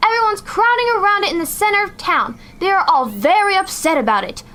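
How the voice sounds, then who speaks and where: Young woman as pesky young girl, audio drama, extremely high, bright piercing voice. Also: lively intonation, brisk, full character voice. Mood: insistent. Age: young adult. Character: pesky, eager little sister, young girl. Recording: clear outdoor ambience noise behind voice.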